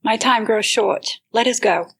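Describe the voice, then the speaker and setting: A woman playing a gentle, solemn, childlike spirit in an audio drama, speaking in slow, otherworldly pronouncements. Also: fairly high pitch, gravelly, slightly rough, heavily acted. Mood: solemn, probing.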